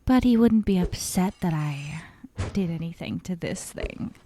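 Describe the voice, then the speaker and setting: A woman voicing an obsessive, sadistic young yandere captor in a soft, breathy ASMR roleplay, half-whispering with sweet menace. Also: lowish voice, lively intonation. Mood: playfully sadistic. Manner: slow, breathy half-whispers broken by giggles. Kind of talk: ASMR roleplay, intimate dramatic monologue.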